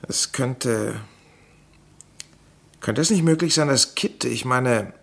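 A man playing a curious, nervously theorising playwright in a German crime radio play, musing in a hesitant, halting way. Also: medium pitch, creaky, a bit bright, heavily acted. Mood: hesitant, insistent.

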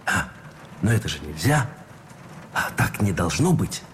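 An actor playing an earnest Russian army officer in a radio play, philosophizing and arguing through rhetorical questions. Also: male voice, sits low, big pitch swings, a little slow, fairly bright tone, a little hiss or hum, exaggerated voice. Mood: earnest, persuasive.

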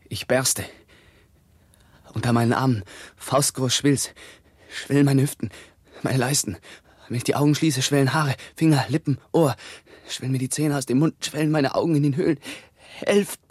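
A dying young man in a radio drama, low-voiced, halting and anguished, close dry recording. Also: no room sound. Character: feverish young plague victim telling of his own death. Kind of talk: 1960s German radio play monologue in verse.

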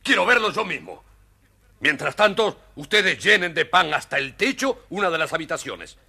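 A man's voice: fairly high pitch, very animated, moderate pace, vocal fry, sharp tone, full character voice. An actor playing an imperious, theatrical fairy-tale king in a children's audio story. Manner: theatrical, commanding.

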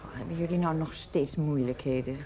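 A woman's voice: low voice, brisk, close to an everyday voice. A middle-aged woman acting in a radio play as a fond mother of grown sons, motherly and a little hesitant. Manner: motherly, hesitant, gently gossipy.

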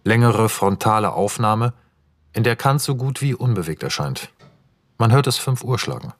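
A man's voice: fairly low pitch, lively intonation, moderate pace, dry recording, clean studio sound. A man narrating scene directions for a radio play in a scripted, matter-of-fact manner. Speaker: narrator reading screenplay directions.

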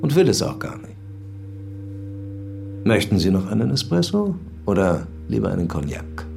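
A deep-voiced police commissioner speaks with formal, measured authority. He is a controlled, probing investigator in a radio crime drama. Music plays clearly behind him. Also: big pitch swings, darkish tone, full character voice. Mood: polite, probing.

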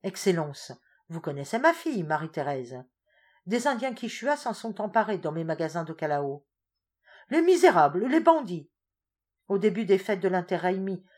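A woman's voice: on the low side, expressive, normal speed, a little mellow. A woman reading as a proud pleading marquis. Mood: indignant. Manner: formal, pleading.

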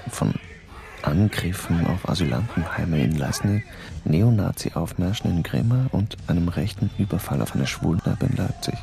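Male narrator, deep gravelly voice, calm and sober first-person telling. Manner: measured, sober storytelling.

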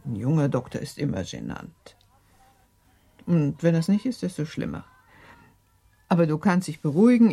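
Husky, matter-of-fact mother. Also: deep voice, exaggerated voice. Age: middle-aged. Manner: matter-of-fact, gently scolding. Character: middle-aged mother, brisk, chatty, knowingly skeptical. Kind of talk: German radio play acting.

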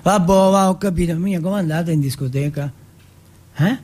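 A man playing an excitable, foul-mouthed teenage street boy from Turin in a stage monologue, slangy and repetitive. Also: high-pitched, normal speed. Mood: agitated, pleading. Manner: slangy, repetitive, swearing at every breath.

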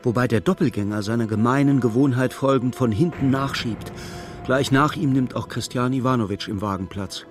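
An actor narrating a dark literary radio play as a solemn, measured storyteller. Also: male voice, mid-range voice, music in the background, natural voice. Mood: grave, urgent.

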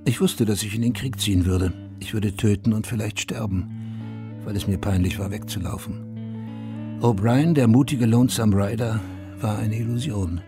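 An actor playing a haunted, self-accusing Vietnam War veteran who narrates a radio drama in a sober, confessional, terse way. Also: male voice, lowish voice, moderate pace, natural voice. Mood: bitter confession. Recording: studio radio play with music underneath.

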